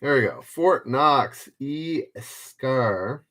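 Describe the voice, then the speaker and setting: A man's voice: medium pitch, lively intonation, drawn-out words, fairly bright tone. A man hosting a trivia livestream, reading clues in the first person and chatting casually with viewers by name.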